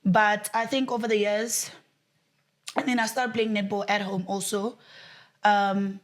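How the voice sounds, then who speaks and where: A young woman with a South African accent, a netball player, talking about herself in a relaxed interview. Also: mid-range voice, a little slow. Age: young adult. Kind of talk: casual interview answers. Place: studio.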